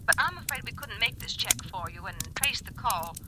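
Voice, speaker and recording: An actress in a vintage radio drama playing a firm, officious chief telephone operator with a measured, official manner. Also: female voice, mid-range voice, animated, lightly acted. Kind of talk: 1940s radio drama acting. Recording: lightly noisy vintage radio recording.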